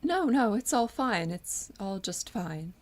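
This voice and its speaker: A young woman playing a polite, awkward married housewife with a sly streak in an amateur audio drama, speaking in a halting, nervous way. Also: medium pitch, very animated, darkish tone. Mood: flustered, polite. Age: young adult.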